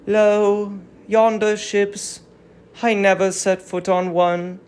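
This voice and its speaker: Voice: medium pitch, flat delivery, takes it slowly, a little mellow, slight background noise, natural voice. A performer playing an aged fallen queen, sorrowful and resigned, in a stately declamatory reading of Greek tragedy.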